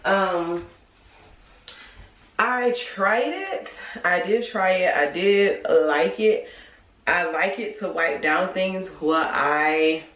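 A woman's voice: mid-range voice, fairly bright tone. An American woman in her thirties chatting informally to viewers, like a vlogger giving a casual product review. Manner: informal, conversational, speaking to viewers. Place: small room at home, indoors. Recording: roomy, echoey indoor sound.

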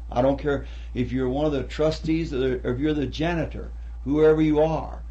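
A man's voice: fairly high pitch, a little mellow. A man preaching as pastor of his church in a Southern American accent, speaking earnestly and plainly and repeating words for emphasis. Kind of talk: sermon answering congregation questions. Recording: dull lo-fi 1960s tape recording.

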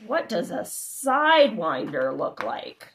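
A woman reading a children's picture book aloud, with animated, exclamatory, child-directed delivery and chatty asides, recorded indoors at home. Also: mid-range voice, takes it slowly, gravelly, slight background noise. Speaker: storybook narrator. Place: living room, indoors.

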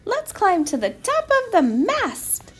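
A woman voicing a small, proud but nervous male ship's mouse in a children's storybook, squeaky and excitable. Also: a little slow, soft background music, heavily acted. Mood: confident. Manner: excitable, exclamatory.

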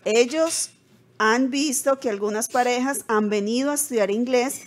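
A woman's voice: a little high, moderate pace. A woman co-hosting a studio show, chatting and reading viewers' messages aloud. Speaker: co-host reading viewer questions. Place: indoor studio.

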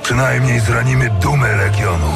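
Man as gravelly, wry demon warrior. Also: mid-range voice, moderate pace, lightly acted. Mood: grimly wry. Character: wry, menacing male demon fighting for the Light. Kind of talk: fantasy audio drama acting. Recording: loud music under the voice.

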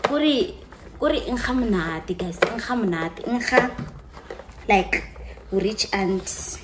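A woman's voice: mid-range voice, expressive, takes it slowly, very noisy. A young woman chatting to camera, gushing about her perfumes and slipping into local phrases. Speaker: vlogger. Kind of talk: casual chat to camera, showing perfumes.

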